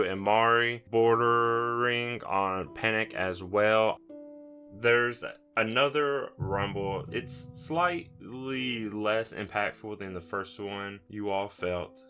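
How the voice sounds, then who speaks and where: Slow, bright-voiced man narrating a game. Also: on the low side. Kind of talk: narrating a tabletop roleplaying game. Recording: music under the voice.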